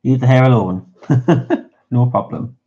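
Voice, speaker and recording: A man's voice: mid-range voice, lively intonation, takes it slowly, warm tone, husky, lo-fi sound. Giggly, stuffy-nosed man chatting on stream. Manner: chatty, giggly, greets viewers by name. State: stuffy nose. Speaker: livestream host. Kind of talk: casual chat with viewers while coloring.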